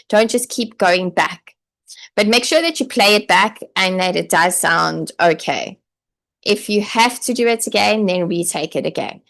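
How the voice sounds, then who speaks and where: Woman teaching coach, casual live-stream delivery, a bit low, some vocal fry. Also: fairly bright tone. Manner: chatty, with frequent "okay" and "like"; encouraging, direct advice. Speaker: online English teacher and coach.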